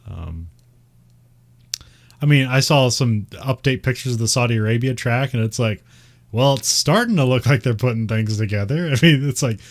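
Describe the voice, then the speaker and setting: A man's voice: medium pitch, expressive, moderate pace. A man with a Midwestern American accent, chatting casually and rambling, with frequent laughs and fillers. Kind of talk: casual chat about racing.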